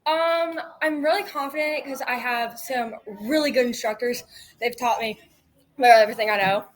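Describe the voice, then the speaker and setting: A woman, a martial arts competitor, answering interview questions eagerly, with a loud voice and many fillers. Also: on the high side, fairly quick. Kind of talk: post-match interview.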